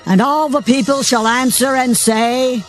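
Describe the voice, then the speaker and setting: A man's voice: very high voice, unhurried. A man narrating dramatized scripture in a solemn, declamatory way, with music behind.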